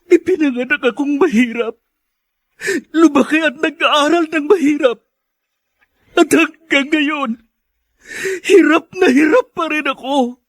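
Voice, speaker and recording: A woman's voice: sits high, measured pace, long pauses, dry recording. An actress playing a poor young woman in a Filipino radio drama, speaking in a plaintive, tearful way. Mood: anguished, tearful. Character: poor young woman lamenting a hard life.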